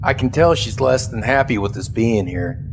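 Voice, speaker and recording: A man's voice: medium pitch, moderate pace, vocal fry, background noise, lightly acted. An actor playing a dutiful, earnest army sergeant in a science fiction audio drama, respectful in his address. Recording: music behind the voice.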